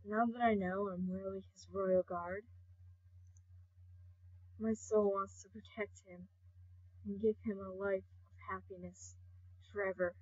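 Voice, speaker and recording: A woman voicing a brooding, hot-tempered, guilt-ridden male skeleton royal guard in an amateur comic dub, halting and intense. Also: mid-range voice, steady tone, husky, no room sound. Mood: subdued, guilty. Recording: dull lo-fi home recording.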